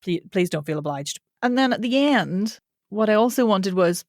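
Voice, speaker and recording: A woman's voice: mid-range voice, animated, moderate pace, no echo, clean studio sound. A woman voice coach and author chatting informally as a podcast guest, laughing easily and dropping mild swear words. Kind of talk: casual interview chat.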